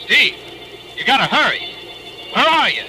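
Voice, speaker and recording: An actor playing a concerned salvage ship captain calling out urgently in 1940s radio drama style. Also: male voice, extremely high pitch, sing-song, measured pace, music in the background, heavily acted. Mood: urgent, alarmed. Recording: noisy old radio recording.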